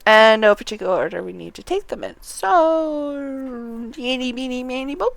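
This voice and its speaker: Slow-paced, clear-voiced woman streaming. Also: sits high, expressive, dry recording. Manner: talks to herself while playing. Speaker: game streamer. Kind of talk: casual live gameplay commentary.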